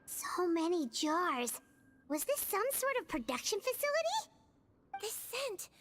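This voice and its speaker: A woman playing a small, gentle, inquisitive girlish head nurse in an anime video game. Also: very high-pitched, sing-song, unhurried, a little hiss or hum, full character voice. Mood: curious, puzzled.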